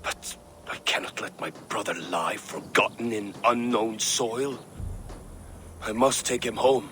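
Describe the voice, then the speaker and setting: An actor playing a mournful, solemn Viking warrior, speaking deliberately in a video game. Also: male voice, on the low side, pushed and bright, a little hiss or hum. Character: mournful Viking warrior grieving his brother. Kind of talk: dramatic video game acting.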